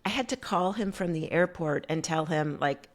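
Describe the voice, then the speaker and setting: A middle-aged American woman telling a story as a guest on an interview show, animated and full of fillers. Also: on the low side, normal speed. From United States. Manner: animated, many fillers like "like" and "you know". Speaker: guest coach.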